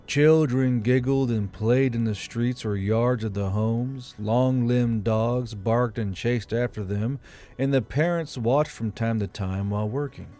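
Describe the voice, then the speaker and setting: Mellow male audiobook narrator. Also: mid-range voice, a little slow, soft background music.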